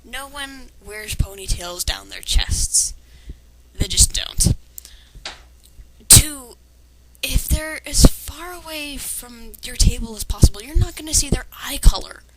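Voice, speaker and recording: A woman's voice: high voice, lively intonation, slow pace, noisy background. A woman reading fan fiction aloud with sarcastic, critical commentary. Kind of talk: dramatic reading with mocking commentary.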